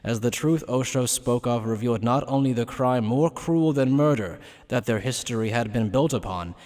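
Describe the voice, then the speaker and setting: A man's voice: mid-range voice, moderate pace, darkish tone. Male anime narrator in long reverb. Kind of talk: scripted dramatic narration of an anime fan dub.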